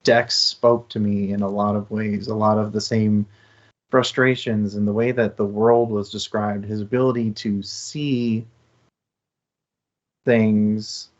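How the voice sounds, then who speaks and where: A man with a lowish voice co-hosts a casual book talk. He phrases things earnestly and thoughtfully, in a reflective style. The sound is slightly dull and lo-fi. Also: little pitch movement, takes it slowly. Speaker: co-host of a book discussion show.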